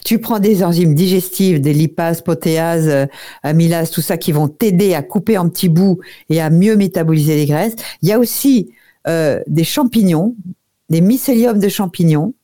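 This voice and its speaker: A woman's voice: on the low side, normal speed, mellow. A woman giving direct, familiar nutrition advice on a radio show. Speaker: nutrition expert guest.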